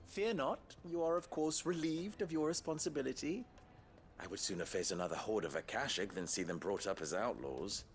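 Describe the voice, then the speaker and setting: An actor playing an upright, wry town leader in a fantasy game, speaking in formal, eloquent dialogue. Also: male voice, medium pitch, normal speed, natural voice. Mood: wry, reflective. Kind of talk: dramatic video game dialogue.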